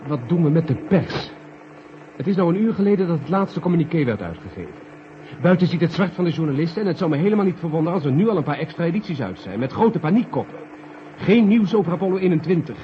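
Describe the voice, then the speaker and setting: An actor playing an insistent, emphatic space mission official in a 1970 Dutch radio drama. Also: male voice, on the high side, big pitch swings, quick pace. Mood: urgent. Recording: muffled, noisy 1970 radio recording.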